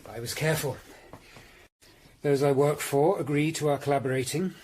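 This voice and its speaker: An actor playing a grave, dutiful Tudor lawyer-detective in a radio drama, speaking in a measured, formal and earnest way. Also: male voice, a little high, darkish tone, a little hiss or hum, natural voice. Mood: guarded, businesslike. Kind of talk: radio drama acting and first-person narration.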